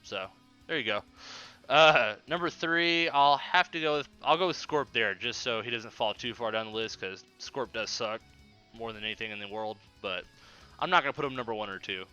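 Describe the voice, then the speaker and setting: A young man with a very bright, forceful voice hosts a laid-back podcast over faint music. He rambles through listener questions in slangy, profanity-laced talk. Now and then he stutters slightly on a word. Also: mid-range voice, moderate pace, clear, resonant tone, muffled recording. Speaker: podcast host. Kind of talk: casual chat answering listener questions.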